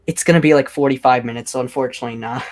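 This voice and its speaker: A young man with a deep voice chats loosely on his own livestream. He rambles from thought to thought and leans on "like" constantly, sounding unscripted and offhand. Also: steady tone, racing, darkish tone. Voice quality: self-described deep voice. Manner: rambling, heavy use of "like". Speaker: livestream host. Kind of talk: casual livestream chat.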